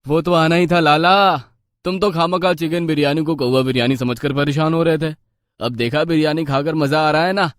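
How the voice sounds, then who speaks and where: A man's voice: a little high, fairly even intonation, normal speed, no room sound, studio quality, natural voice. A man voicing a greedy, scheming street food seller in a cartoon moral story, theatrical and full of exclamations. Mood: smooth, reassuring. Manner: exclaiming, theatrical, talks to himself. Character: greedy, scheming male biryani seller, wheedling and defensive. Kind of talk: animated Hindi cartoon moral story acting.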